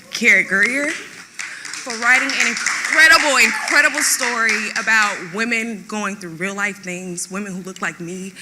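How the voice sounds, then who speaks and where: A woman's voice: fairly low pitch, background noise. A woman giving a gushing, emphatic, unscripted acceptance speech as an award winner. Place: theatre stage at awards ceremony. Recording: long hall reverb.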